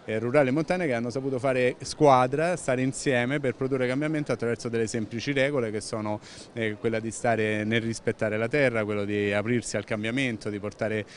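A man's voice: medium pitch, steady tone, fairly quick. A man in his fifties with an Italian accent, giving earnest, fluent interview answers into a handheld microphone in a large indoor expo hall, with frequent "eh" fillers and restarts. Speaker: president of an environmental organization. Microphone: handheld reporter microphone held close. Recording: noisy venue background.

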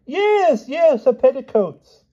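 Giddy, dithering king for a storybook: very high, wildly animated, blustering and full of questions. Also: moderate pace, slightly rough, lo-fi sound, exaggerated voice. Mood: excited. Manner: blustering, chatty, repetitive questioning. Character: giddy, excitable, dithering old-fashioned English king.

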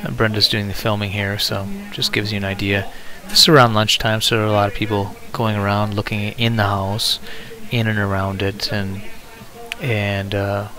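A man talks through his auction footage in a plain, easygoing voice-over. His voice is on the low side, but its tone is bright and forceful. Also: little pitch movement, moderate pace. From northern Wisconsin. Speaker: antiques dealer narrating. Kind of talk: casual voice-over narration.

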